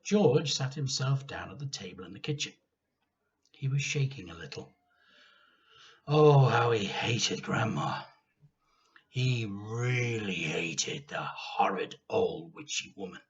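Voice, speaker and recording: A man reading a children's story aloud expressively as a teacher, with dramatic emphasis and deliberate pauses. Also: fairly high pitch, lo-fi sound.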